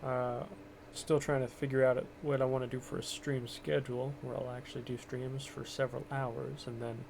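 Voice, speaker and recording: A man streaming a racing game from home, talking in a low-key, monotone, rambling way and keeping his voice down. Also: moderate pace, creaky, few pauses. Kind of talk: casual gameplay commentary. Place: home, kept quiet for others in the house. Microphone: cheap microphone. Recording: noisy cheap mic with game sound.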